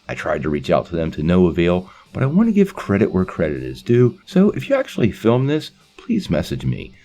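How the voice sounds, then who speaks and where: A man's voice: deep voice, dark tone. A man hosting a video channel, giving a scripted introduction in an explanatory presenter's delivery. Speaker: channel host. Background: faint music.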